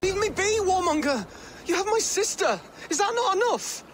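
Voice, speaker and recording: A man's voice: very high-pitched, big pitch swings, moderate pace, fairly bright tone, exaggerated voice. A man playing an earnest, defiant Saxon youth in a video game. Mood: angry, pleading. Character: defiant, soft-hearted Saxon youth, younger brother. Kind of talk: video game cutscene acting. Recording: outdoor ambient noise behind.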